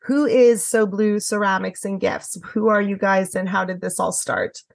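A woman's voice: medium pitch, moderate pace, vocal fry, no echo, clean studio sound. A woman hosting a radio interview show, upbeat and encouraging with her guests.

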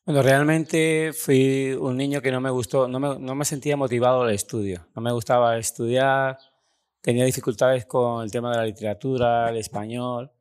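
A man with a Cuban Spanish accent tells his life story as a podcast guest. He sits in the middle of the male pitch range and talks earnestly, pressing on key words and then rushing through asides. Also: steady tone, a little mellow, clean tone. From Cuba. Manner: earnest, slow and emphatic, with sudden quick runs. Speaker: podcast guest, personal development coach. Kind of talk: storytelling interview answers.

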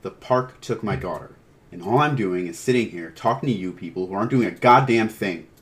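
Man acting terrified father, low stammering pleas. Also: steady tone, moderate pace, distant mic, close to an everyday voice. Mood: angry, desperate. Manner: stammering, pleading. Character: terrified, desperate father begging police for help.

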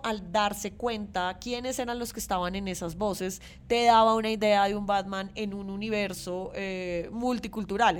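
A Colombian woman speaks in a medium-pitched voice as a podcast co-host. She talks informally and thinks aloud, repeating small words and hesitating as she builds her point. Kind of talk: casual chat about pop culture.

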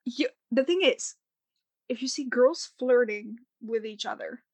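A woman's voice: a little high, lively intonation, a little slow, husky, no echo. A young woman co-hosting a podcast, talking casually with lots of fillers and restarts. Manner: rambling, many fillers and restarts. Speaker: podcast co-host.

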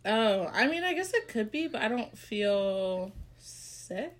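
A woman's voice: fairly high pitch, very animated, slow. A young woman co-hosting a podcast in casual chat, congested, talking loosely with lots of fillers and laughter. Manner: chatty, lots of "like", laughs often. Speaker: podcast co-host.

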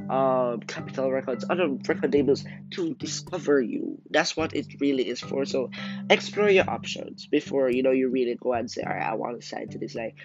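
Soft-spoken young man over guitar music. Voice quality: soft, deep speaking voice. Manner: reflective, encouraging, talks in loose run-on thoughts with many fillers. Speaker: podcast host; aspiring singer. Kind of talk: casual solo podcast talk. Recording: dull lo-fi sound over loud guitar music.

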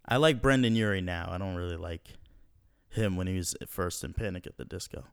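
A man's voice: deep voice, fairly quick, vocal fry, clean tone, no echo, studio quality. A young man hosting a casual podcast at home, slangy and laid-back, swearing often. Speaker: podcast host. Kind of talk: casual profane podcast chat.

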